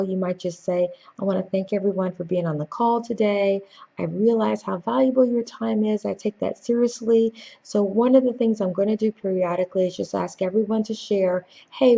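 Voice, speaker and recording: A woman giving an instructional talk as a corporate trainer, with a husky, mellow tone that often dips into vocal fry. She is conversational and emphatic, speaking straight to the listener. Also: medium pitch, highs cut off. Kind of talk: instructional tips presentation to camera.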